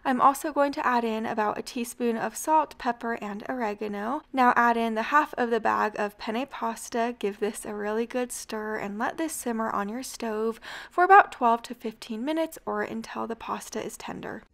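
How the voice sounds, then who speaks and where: A woman's voice: a little high, normal speed, few pauses, a bit bright. A young woman chattily narrating a home cooking video, walking through each step of the recipes. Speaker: cooking channel host. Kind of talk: casual recipe voice-over narration.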